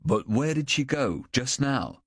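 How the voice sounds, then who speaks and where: A man doing a calm, patient policeman. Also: medium pitch, a little slow, dry recording, clean studio sound, voice pushed up. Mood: patient probing. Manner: gentle, patient, steady questioning.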